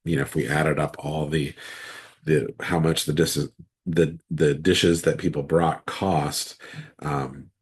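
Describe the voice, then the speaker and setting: A man with a cold, his voice hoarse and raspy, leading an online midweek Bible study as pastor, reading scripture and then explaining it in a loose, conversational way. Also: little pitch movement, slow pace. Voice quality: raspy, very deep voice. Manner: conversational, restarts sentences often.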